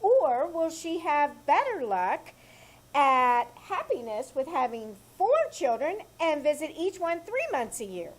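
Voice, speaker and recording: A stubborn, sassy housemaid who talks back in a slow, deadpan drawl, played by a woman. Her voice sits high, but she takes her time over every line, in a 1940s radio comedy staged live. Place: small theater stage.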